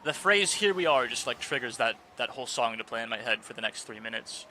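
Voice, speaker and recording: A man's voice: medium pitch, a little fast, pushed and bright, a little hiss or hum. A man streaming live, chatting casually and rambling with lots of fillers and restarts.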